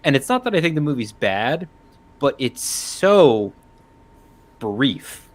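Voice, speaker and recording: A man giving his opinion as a panelist in a casual discussion, pausing often mid-thought. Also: mid-range voice, sing-song.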